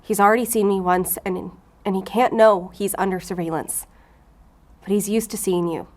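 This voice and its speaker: A woman's voice: mid-range voice, fairly even intonation, normal speed, a little mellow, lightly acted. Driven, anxious woman FBI agent, acted. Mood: contrite, earnest. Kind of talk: audio fiction drama acting.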